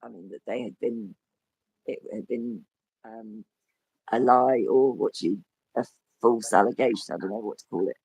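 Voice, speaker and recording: A man with a croaky, hoarse voice, talking as a guest in a rambling casual chat that trails off and restarts. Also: on the low side, moderate pace, pauses often, a little mellow, dry recording, poor audio. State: hoarse with a cough. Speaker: podcast guest. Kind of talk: casual conversation.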